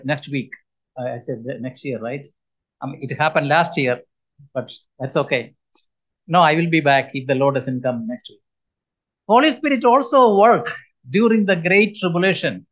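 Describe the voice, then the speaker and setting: A man in his seventies preaching a sermon, his voice sitting high for a man. He speaks earnestly and with emphasis, pausing often between phrases. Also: a bit bright. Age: 70s.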